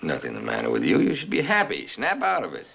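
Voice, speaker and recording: An actor playing an evasive, conflicted husband who stays placating and guarded in a domestic film drama. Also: male voice, very deep voice, wildly swooping pitch, fast, creaky, a bit bright, heavily acted. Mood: reassuring. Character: evasive husband, conflicted, trying to stay reasonable. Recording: faint background noise.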